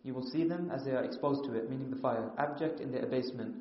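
A man's voice: mid-range voice, fast. A man who chants verses in melodic recitation and then explains them in English as a teacher. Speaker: Quran teacher. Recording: echoey, dull, reverberant room.

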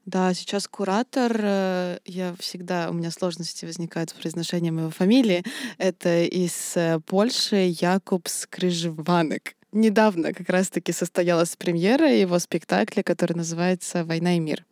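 A woman's voice: on the low side, fairly even intonation, moderate pace, a little mellow, no echo. Woman producer chatting on a podcast, candid and thoughtful, dry close sound. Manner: candid, thoughtful, laughs often. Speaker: theatre producer. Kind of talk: casual podcast discussion.

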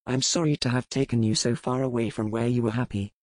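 A grave, resolute pirate captain, as a woman narrator puts him on, his voice pushed well below her own and darker in tone. He speaks with formal, measured firmness. The recording is dry and close. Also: very deep voice, darkish tone, dry recording, voice pushed down. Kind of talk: audiobook character voice.

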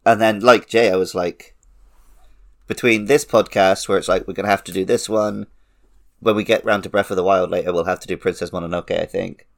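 A man's voice: low voice, steady tone, fairly quick, creaky. A man hosting a podcast in casual, conversational talk. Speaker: podcast host.

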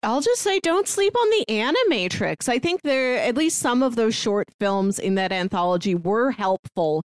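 A woman co-hosting a casual podcast chat, giving brisk, emphatic recommendations. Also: on the high side, animated, dry recording, studio quality. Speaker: podcast co-host.

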